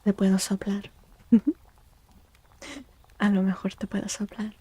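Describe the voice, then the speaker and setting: A woman hosting a soft-spoken ASMR livestream, hushed and breathy, often slipping into whispers and gently repeating phrases. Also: medium pitch, completely flat delivery, moderate pace, dry recording. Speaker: livestream host, tarot reader. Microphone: close microphone in a dry space.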